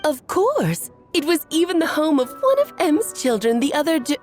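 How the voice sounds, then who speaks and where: An actress playing a poised, playfully inquisitive sorceress hostess in a game, with an elegant, theatrical delivery. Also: female voice, sits high, big pitch swings, normal speed. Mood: surprised. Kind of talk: scripted English game voice acting. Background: soft background music.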